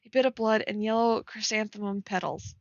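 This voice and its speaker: A woman's voice: medium pitch, steady tone, a little fast, slight background noise. A young woman chatting casually as she co-hosts a fan fiction reading, rambling tentatively and leaning on filler words. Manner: rambling, tentative, heavy use of "like". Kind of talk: casual chat with read-aloud passages. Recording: dry, slightly dull lo-fi mic.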